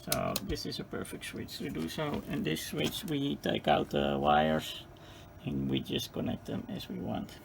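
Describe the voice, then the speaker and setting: A man giving an informal hands-on technical tutorial, speaking haltingly as he searches for words. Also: mid-range voice, big pitch swings, vocal fry, a little hiss or hum. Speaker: presenter of a radio repair tutorial.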